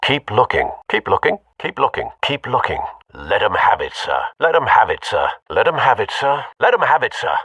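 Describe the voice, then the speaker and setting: An actor playing a loyal older butler in a video game, with a wry, formal manner. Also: male voice, mid-range voice, sing-song, moderate pace, pushed and bright, breathy edge, no echo, far from the mic, exaggerated voice. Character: dry-witted loyal English butler, older man, wry.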